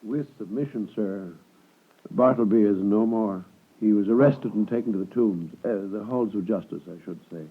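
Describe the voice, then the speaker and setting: Fussy, deferential clerk, tinny radio. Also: male voice, on the low side, moderate pace, husky. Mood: hesitant, regretful. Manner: obsequious, hesitant, formal. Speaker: actor in a radio drama. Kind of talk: 1950s radio drama acting. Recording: tinny vintage 1950s radio broadcast.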